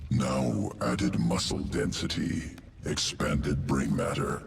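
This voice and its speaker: Guttural, very deep alien scientist creature. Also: male voice, huge pitch swings, takes it slowly, roomy sound, recorded from a distance, slight background noise, heavily acted. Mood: clinical, detached. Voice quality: gravelly, guttural. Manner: clipped telegraphic phrasing without articles, detached. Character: alien evolution-master creature, clinical, coldly calculating. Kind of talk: scripted sci-fi game voice acting.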